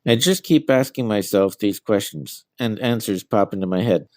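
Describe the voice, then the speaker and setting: A man's voice: lowish voice, moderate pace, a little mellow, no room sound, clean studio sound. A middle-aged man being interviewed as an author, giving answers about their own work.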